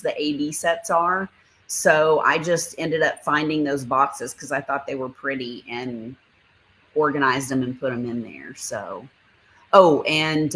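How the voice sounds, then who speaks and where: A woman hosts a relaxed livestream. She rambles loosely as she shows her supplies. Now and then she reads viewer comments aloud and answers them. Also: low-pitched. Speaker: livestream host. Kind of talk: casual livestream chat.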